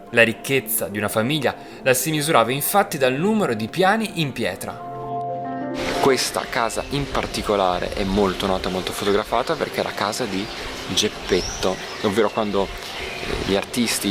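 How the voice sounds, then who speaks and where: A man presenting a travel documentary, speaking in a measured way with some hesitations. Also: on the low side. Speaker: travel reporter. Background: music.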